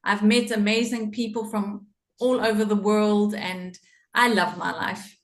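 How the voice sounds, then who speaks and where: A woman's voice: mid-range voice, steady tone, a little slow. Echoey middle-aged South African woman. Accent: South African English. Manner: warm, earnest, laughs often. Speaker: podcast guest. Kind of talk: personal storytelling in an interview.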